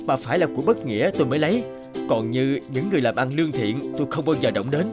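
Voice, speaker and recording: Folktale outlaw male actor: bold, honest, plain-spoken, mid-pitched with lively melody. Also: fast talker, loud music behind the voice, close to an everyday voice. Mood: proud, sincere.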